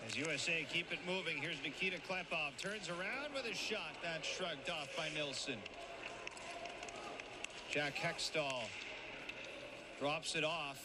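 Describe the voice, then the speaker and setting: A man gives a brisk running call of a hockey game. His voice sits a little high. Loud arena noise fills the broadcast behind him. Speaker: play-by-play commentator. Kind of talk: hockey play-by-play commentary.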